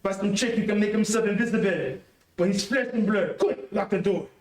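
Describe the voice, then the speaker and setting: An actor playing a treacherous, cowardly ex-convict in an excitable, theatrical old-time radio melodrama style. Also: male voice, sits high, a little fast, lightly acted. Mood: panicked scheming. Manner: theatrical, excitable pleading and threatening. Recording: echoey room, distant mic.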